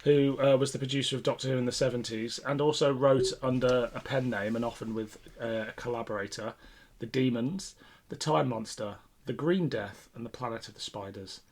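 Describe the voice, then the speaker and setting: Medium-pitched man explaining things casually. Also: brisk, a little mellow. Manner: informative, explanatory. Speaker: podcast co-host. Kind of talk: casual review chat.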